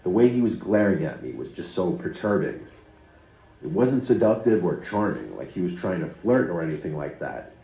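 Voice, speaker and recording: Deep dark male narrator, steady scripted storytelling, muffled roomy playback. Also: moderate pace, creaky. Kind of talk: scripted first-person narration.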